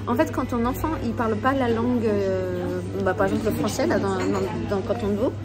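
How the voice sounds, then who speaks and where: A woman's voice: mid-range voice, brisk, over loud music. A woman from France, talking casually to her husband while filming on her phone. She laughs often and restarts her sentences a lot. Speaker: vlogger. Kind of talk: casual chat. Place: home in Switzerland. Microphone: phone held in hand.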